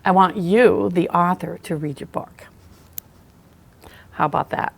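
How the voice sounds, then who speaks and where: Gravelly woman hosting an author interview. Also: on the low side, unhurried. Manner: encouraging, warm affirmations. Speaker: local TV talk show host.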